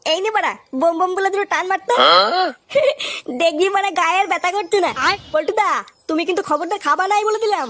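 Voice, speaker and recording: A woman's voice: very high-pitched, quick pace, fairly bright tone. A woman voicing a cheeky, brash, foul-mouthed young boy in a crude comedy cartoon. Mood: coaxing.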